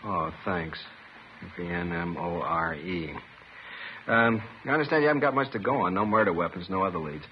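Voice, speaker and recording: An American man playing a brisk, businesslike newspaper reporter in a 1950s radio drama, with a quick, casual manner. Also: deep voice, lively intonation. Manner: quick, casual, checking facts. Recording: muffled 1950s radio, faint noise.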